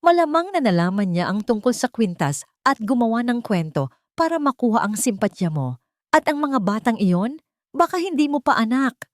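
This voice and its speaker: Babaeng gumaganap bilang makamandag at matapobreng donya. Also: female voice, a little high, very animated, normal speed, no room sound, clean studio sound, voice pushed up. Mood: scornful, dismissive. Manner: haughty, dismissive, hateful. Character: venomous elegant rich matriarch, cruel and scornful. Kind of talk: Tagalog radio drama acting.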